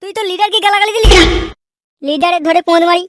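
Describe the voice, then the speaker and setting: Super high chipmunky cartoon punk, angry lad talking crazy fast, music behind. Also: male voice, fairly bright tone. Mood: bossy, furious. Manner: brash, aggressive, threatening. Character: foul-mouthed, hot-headed young male cartoon troublemaker. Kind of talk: comedy cartoon dubbing.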